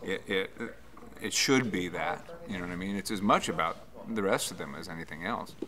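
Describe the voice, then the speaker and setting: A man's voice: deep voice, fairly quick, noisy background. A man in his forties, a stage actor, speaking reflectively in an interview and often repeating phrases as he goes. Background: music.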